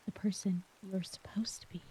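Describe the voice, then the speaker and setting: A woman performing an emotional ASMR monologue as an exhausted, anxious character, speaking softly and haltingly, often near a whisper. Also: medium pitch, level tone, natural voice, low-quality recording. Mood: weary, vulnerable. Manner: slow, halting, vulnerable delivery. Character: exhausted, anxious woman crushed by others' expectations. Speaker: audio roleplay performer.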